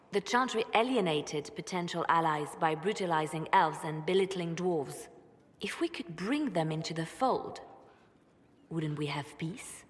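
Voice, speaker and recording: A woman playing an ambitious, composed churchwoman in a video game cutscene, measured and persuasive, with fervent conviction. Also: lowish voice, lightly acted. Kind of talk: dramatic game cutscene acting. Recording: long hall reverb.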